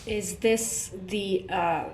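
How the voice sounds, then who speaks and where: A woman playing a hesitant, polite newcomer in an audio drama, speaking haltingly. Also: medium pitch, fairly even intonation, echoey room, very noisy. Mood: awkward, tentative. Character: hesitant, polite woman newly joining a prison knitting circle.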